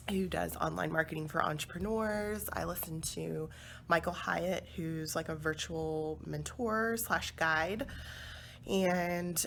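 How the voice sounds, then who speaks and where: A woman's voice: fairly low pitch, creaky, a bit bright, slight background noise. A woman in her twenties answering interview questions casually, chatty and laughing, with many fillers. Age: 20s. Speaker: focus group participant.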